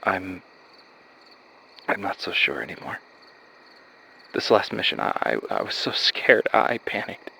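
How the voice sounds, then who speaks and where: A man playing an anxious, earnest young soldier in an animated horror drama, speaking in a halting, confiding way. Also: low-pitched, gravelly, slight background noise, full character voice. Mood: shaken. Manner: hesitant, halting confessions with sighs. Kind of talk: naturalistic dramatic dialogue in an animated horror series.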